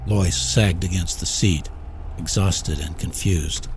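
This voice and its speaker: A man's voice: sits low, takes it slowly, slight background noise. A man narrating a short story from a script in a dramatic reading style. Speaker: audiobook reader.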